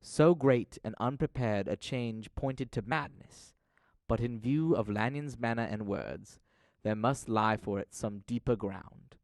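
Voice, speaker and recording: A man narrating an audio drama from a script, telling the story in a measured, dramatic manner. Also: medium pitch, darkish tone, no echo, studio quality.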